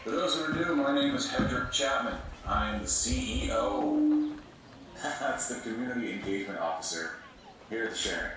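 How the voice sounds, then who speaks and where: A man's voice: mid-range voice, moderate pace, lightly acted. A very echoey, noisy recording carries an actor as a genial male community leader at a podium. He speaks in an upbeat, folksy, salesy way, like a showman addressing his members in an audio drama. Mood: upbeat, rallying. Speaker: community engagement officer giving announcements. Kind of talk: audio drama speech to a gathering. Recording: very echoey public-address sound with clear noise.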